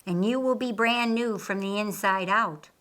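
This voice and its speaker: Woman voicing a calm teacher Jesus, bright tone. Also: mid-range voice, normal speed, lightly acted. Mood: solemn teaching. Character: Jesus, a man, calm, assured, kindly teacher. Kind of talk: audiobook character dialogue.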